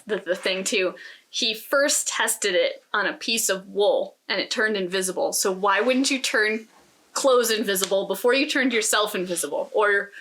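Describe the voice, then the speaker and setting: Woman, bright crisp-edged tone, mid-range pitch, casual book talk, opinionated podcast co-host.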